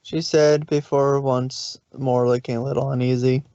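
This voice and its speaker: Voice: mid-range voice, little pitch movement, moderate pace, warm tone, dry recording, lo-fi sound. A narrator reading scripted fan fiction in short descriptive passages.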